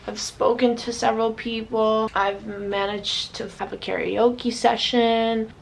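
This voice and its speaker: Young woman, gravelly voice, blunt and frank, casual vlog talk to camera, swears a lot. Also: fairly high pitch, flat delivery, a little slow, slight background noise, rough recording. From United States. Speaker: vlogger.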